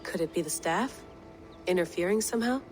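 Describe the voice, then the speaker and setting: A woman's voice: fairly low pitch, animated, fairly quick, close to an everyday voice. Actress as troubled woman operative over music. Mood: defensive, troubled. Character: troubled, guarded modern-day woman field operative. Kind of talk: naturalistic video game acting. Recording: music playing behind the voice.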